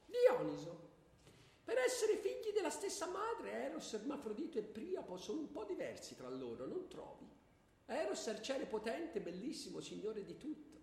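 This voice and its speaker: Very high-pitched, prim schoolboy. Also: male voice, wildly swooping pitch, normal speed, darkish tone, exaggerated voice. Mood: declamatory. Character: prim, easily shocked male schoolboy playing Apollo in class. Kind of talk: comic stage acting, stilted recitation breaking into asides. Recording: echoey hall with long reverb.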